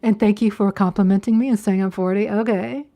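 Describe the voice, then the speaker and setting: Female livestreamer, 50s, medium pitch, informal and conversational, repeats phrases and checks in with listeners. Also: steady tone, a little fast, warm tone. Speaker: livestream host. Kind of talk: casual livestream chat, replying to viewers.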